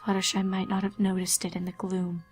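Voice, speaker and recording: A woman's voice: medium pitch, completely flat delivery, a little fast, a little mellow. A woman reading a scripted horror story as a dramatic narrator. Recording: faint music behind narration. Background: faint music.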